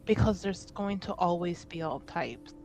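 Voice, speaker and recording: A woman roleplaying in a tabletop game, speaking earnestly and deliberately, with narrated asides. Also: fairly low pitch, lively intonation, darkish tone, faint music behind.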